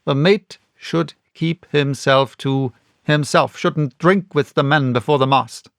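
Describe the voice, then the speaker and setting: A sharp, stern ship's captain, as a male reader acts him out in a storybook. He speaks bluntly and formally, with clipped insistence and a raised pitch, recorded close and dry. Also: slow, creaky, dry recording. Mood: firm, disapproving. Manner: plain-spoken, clipped, insistent. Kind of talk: audiobook character reading.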